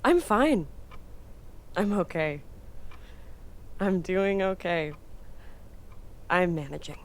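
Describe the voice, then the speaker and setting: A woman's voice: lowish voice, animated, slow pace. A woman acting an earnest, awkward young woman in naturalistic drama dialogue, hesitant and repeating short phrases. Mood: concerned, guarded. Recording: faint background noise and ambience.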